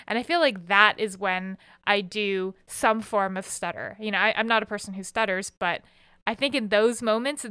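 A woman with a bright, clear and smooth voice hosts a relaxed podcast chat. She asks curious follow-up questions and muses aloud, using small fillers. The recording is dry and close, with no room sound. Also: mid-range voice, no echo. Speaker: podcast host reading listener posts.

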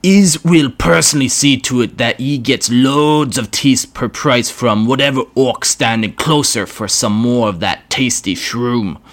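A hulking, short-tempered orc warlord, put on by a man reading a story aloud. He drawls his crude slang slowly and loudly, with a gruff, swaggering bark. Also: medium pitch. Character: huge angry brutish male orc boss.

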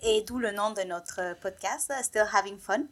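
A woman's voice: medium pitch, moderate pace, a bit bright. Hesitant woman guest, native Spanish speaker. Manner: hesitant, many fillers, slips into English words. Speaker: podcast guest. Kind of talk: casual podcast chat.

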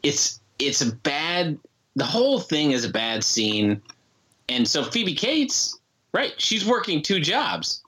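Bright, lively-voiced male podcast co-host. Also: a little high, a little hiss or hum, rough recording. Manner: wry, joking asides and quick interjections. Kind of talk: casual chat.

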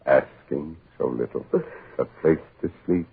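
Gravelly, very deep walking corpse. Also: male voice, slight background noise, heavily acted. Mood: hateful, vengeful. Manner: slow, solemn, menacing incantation. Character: vengeful male walking corpse back from the grave. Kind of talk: 1940s radio horror acting. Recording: muffled tinny 1940s radio recording.

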